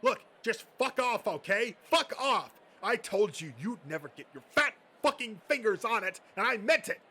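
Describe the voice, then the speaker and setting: A man's voice: sits high, big pitch swings, moderate pace, pushed and bright, slightly rough, voice pushed up, exaggerated voice. Man playing a bitter, sneering nerd. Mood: furious. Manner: sneering, foul-mouthed. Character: hostile, contemptuous gatekeeping male nerd, bitter and explosive. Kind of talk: comic dub character acting.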